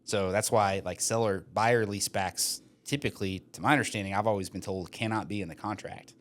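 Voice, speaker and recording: A man's voice: lowish voice, steady tone, a little fast, a bit bright. A young man co-hosting a casual podcast, reading listener questions aloud and cracking jokes between hedged explanations. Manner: joking asides, frequent hedges and fillers. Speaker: podcast co-host, mortgage lender.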